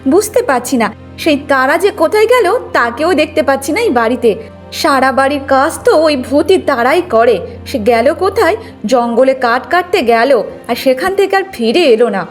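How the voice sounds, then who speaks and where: A two-faced young wife in an animated fable, voiced by a woman, resentful and sharp-tongued about her chores. The voice sits high over faint music. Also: fairly quick. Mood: grumbling. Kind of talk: cartoon moral tale acting.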